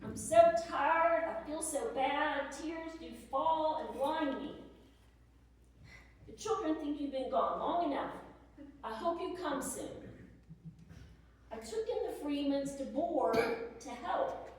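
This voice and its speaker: Weary, lonely Civil War wife played by a woman, plaintive letter-reading, high voice, distant mic in a reverberant room. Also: lively intonation, slow, long pauses. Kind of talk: dramatic reading of historical letters. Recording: echoey hall, distant microphone.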